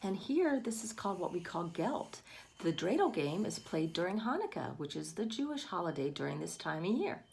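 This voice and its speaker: Expressive, low-pitched woman reading to children. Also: moderate pace, vocal fry. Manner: expressive, addresses young listeners with questions and exclamations. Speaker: read-aloud storyteller for children. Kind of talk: picture book read-aloud with asides to children.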